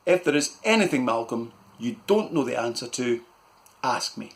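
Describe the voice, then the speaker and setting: A man's voice: mid-range voice, animated, normal speed, close to an everyday voice. A man voicing a blustering, self-important middle-aged uncle who barks questions. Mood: pompous. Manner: loud, barking, self-important.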